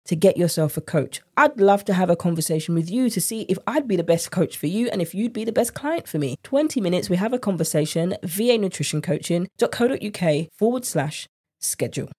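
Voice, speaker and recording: A woman's voice: on the low side, animated, a little fast, mellow, slightly rough. A woman with a congested, slightly nasal voice hosting a casual solo podcast as a coach, speaking chattily and directly to listeners. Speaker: podcast host and nutrition coach.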